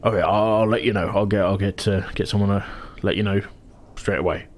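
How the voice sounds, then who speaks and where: Guy doing a nervous stammery opera house manager for a dnd game, pretty low voice, bit of noise. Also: fairly even intonation, a little fast, close to an everyday voice. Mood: nervous, obliging. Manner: hesitant, stammering, repeats himself. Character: flustered, anxious male opera house manager.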